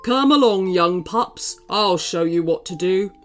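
A woman voicing a frail, kindly old male dog with quiet courage in a storybook, speaking as a gentle elder. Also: fairly low pitch, expressive, a little slow, faint music behind. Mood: resolute. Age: older.

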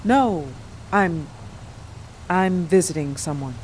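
A woman's voice: fairly low pitch, expressive, takes it slowly, darkish tone, slight background noise, rough recording. Dry, guarded woman. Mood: wistful, guarded. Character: wry, guarded adult woman visiting her hometown. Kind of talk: naturalistic audio drama acting.

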